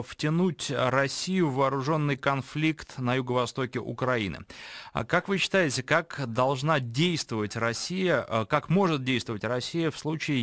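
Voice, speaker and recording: A man's voice: a little high, fairly bright tone, dry recording. A man interviewing a guest on the radio, asking long questions with "ah" fillers.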